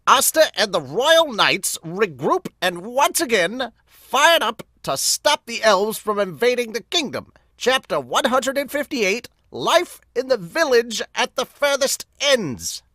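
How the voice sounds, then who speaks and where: A theatrical manga announcer booms out a recap. The pitch is pushed high and the tone is forceful. The delivery is dramatic and drawn out, in a dry amateur dub. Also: male voice, sing-song, slow, few pauses, no echo, full character voice. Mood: dramatic, hyped.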